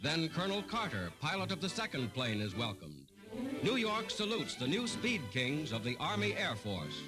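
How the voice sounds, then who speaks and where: An American man narrates in classic 1940s newsreel style over a loud musical score. His voice sits fairly high and moves with great animation. The delivery is formal, dramatic and declamatory, on a vintage wartime soundtrack. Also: sing-song, normal speed, loud music behind the voice, poor audio.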